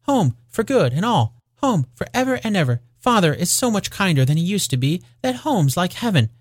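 A man's voice: sits high, big pitch swings, normal speed, no room sound, clean studio sound, voice pushed up. A man voicing a loving, gleeful little girl, eager and affectionate, in a storybook reading.

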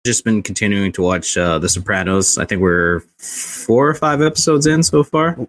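A man's voice: on the low side, normal speed, a bit bright, dry recording. A man in casual conversation as a podcast co-host. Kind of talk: casual chat.

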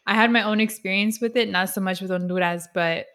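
A woman's voice: mid-range voice, steady tone, a little fast, a bit bright. A woman host from San Diego in a casual interview, chatty and laughing through her own anecdotes. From San Diego, USA. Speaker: podcast host.

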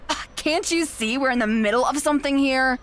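A woman's voice: sits high, fairly quick, fairly bright tone, slight background noise. A young woman playing a possessive, manipulative fiancée in an audio drama, speaking in a haughty, dismissive way. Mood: irritated, dismissive. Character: possessive, condescending con-artist fiancée, smug and manipulative. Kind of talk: naturalistic audio drama acting.

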